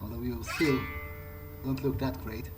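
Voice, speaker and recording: A man with a middle-pitched voice roleplays through a video game. He thinks aloud in a hesitant way, with game music clearly heard behind him. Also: fairly even intonation, moderate pace, low-quality recording. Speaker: gamer roleplaying in character. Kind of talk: in-character video game playthrough commentary.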